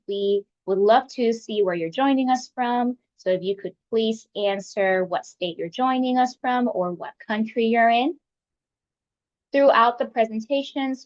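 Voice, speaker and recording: A woman's voice: fairly high pitch, a little mellow. Woman, webinar host; polite, welcoming read-through of a scripted introduction; muffled video-call audio. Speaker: webinar host and community coordinator.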